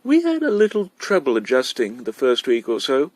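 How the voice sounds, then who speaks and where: A man's voice: mid-range voice, huge pitch swings, normal speed, dry recording, voice pushed up. A man voicing a prim, tactful woman kindergarten teacher in a storybook reading, speaking politely.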